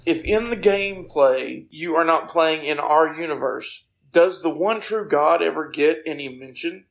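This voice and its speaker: Higher-pitched, creaky male interviewer. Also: measured pace, a bit bright. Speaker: podcast interviewer. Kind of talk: reading prepared interview questions.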